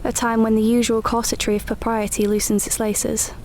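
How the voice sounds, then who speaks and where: An actress plays a guarded, capable young Edwardian woman telling her own story in a radio play. Her voice sits a little high, with a measured, literary, intimate delivery. Faint ambient noise runs behind her. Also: level tone, darkish tone, close to an everyday voice. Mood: cosy, reflective. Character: young Edwardian woman, independent, wry, guarded preacher's daughter narrating. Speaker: narrator and lead actor. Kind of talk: scripted first-person radio play narration.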